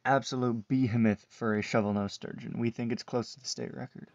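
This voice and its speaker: A man's voice: medium pitch, fairly even intonation, a little fast, a little mellow, no room sound. A man narrating a fishing video in a casual voice-over as the channel's host. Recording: dull lo-fi voice-over with faint noise.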